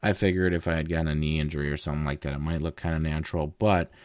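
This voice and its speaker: Very deep-voiced man telling a story. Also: level tone, racing, smooth, no echo, clean studio sound. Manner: rambling, with fillers. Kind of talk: casual storytelling conversation.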